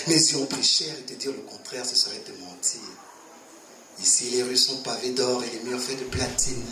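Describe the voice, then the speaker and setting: A man reciting slam poetry as a dramatic live performance, declaiming with swings between forceful projection and hushed intimacy. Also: mid-range voice. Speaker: slam poet. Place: live poetry competition venue. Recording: roomy echo, distant mic, faint noise.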